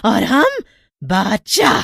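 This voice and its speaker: A woman voicing a gloating, menacing witch leader in a cartoon horror story, taunting in drawn-out commands with cackles. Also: medium pitch, huge pitch swings, creaky, a bit bright, husky, no room sound, clean studio sound, exaggerated voice. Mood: gleeful menace. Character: gloating, menacing female witch leading her coven.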